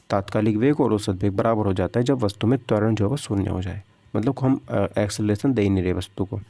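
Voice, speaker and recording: A man's voice: on the low side, gravelly, warm tone, no echo. A man teaching a lesson through practice questions, repeating himself and answering his own questions. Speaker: physics teacher for exam coaching.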